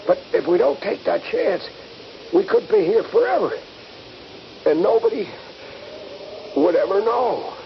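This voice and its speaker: A dull, faintly noisy old radio recording features an injured soldier determined to get free. His voice is thick with gravelly creak and moves through very lively pitch swings, in classic radio drama style. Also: measured pace, long pauses, full character voice. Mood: weary, uneasy. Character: injured soldier buddy, trapped but determined.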